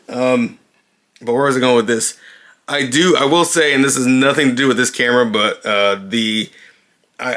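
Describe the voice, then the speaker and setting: A man's voice: mid-range voice, fairly bright tone. A man casually demonstrating a new camera indoors, talking loosely with lots of fillers and restarts, recorded on the camera's own built-in mic. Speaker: vlogger reviewing a camera. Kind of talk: casual unscripted camera demo. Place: indoors, dim room.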